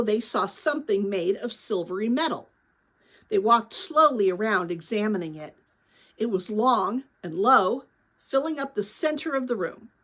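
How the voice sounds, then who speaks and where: Female read-aloud host, fairly high voice, expressive storybook narration with careful phrasing. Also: poor audio.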